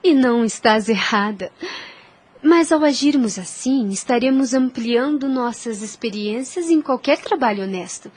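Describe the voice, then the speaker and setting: A humble young governess played by a woman in a Brazilian radio play. Her voice is slightly high and husky, and she speaks with earnest, formal gentleness. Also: lively intonation, normal speed. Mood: gently instructive. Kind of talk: audio drama acting.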